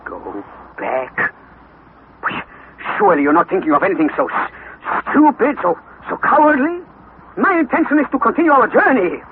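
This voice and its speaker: An actor playing an excitable, stubborn older professor uncle, pompous and exclamatory, in a 1960s radio adventure serial. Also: male voice, high voice, normal speed. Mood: indignant, resolute. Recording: tinny, hissy vintage radio broadcast.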